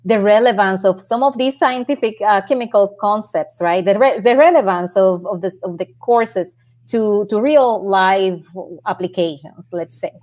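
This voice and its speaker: A woman's voice: medium pitch, normal speed, a little mellow. A woman from Puerto Rico with a Puerto Rican Spanish accent, telling her career story as a panel guest over a video call, earnest and often restarting her words. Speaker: panel guest, space project manager. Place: home office, indoors.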